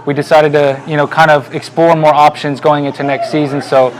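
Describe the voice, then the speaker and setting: A man, a team owner, presenting a sponsor segment in a relaxed way with many fillers. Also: fairly high pitch, brisk, background noise.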